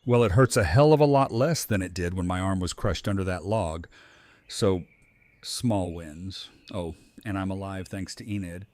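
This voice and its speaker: A man performs a wounded survivor, a stoic father with a dry wit. His voice is deep and understated, in a dry audio drama recording. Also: moderate pace, darkish tone, no room sound, lightly acted. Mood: wry, grateful. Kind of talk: fan audio drama acting.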